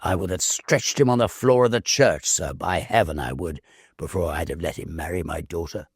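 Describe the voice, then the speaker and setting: A man voicing an angry old gentleman in a ghost story, blustering, commanding and emphatic. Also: sits low, moderate pace, slightly rough, dry recording, studio quality. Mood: outraged. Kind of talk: audiobook ghost story character voice.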